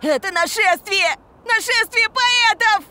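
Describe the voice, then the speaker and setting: A woman's voice: very high-pitched, takes it slowly, very noisy. A woman voicing a bossy, eager young cloud courier who commands a team in a children's cartoon. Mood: commanding. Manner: emphatic, commanding. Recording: loud music behind the voice.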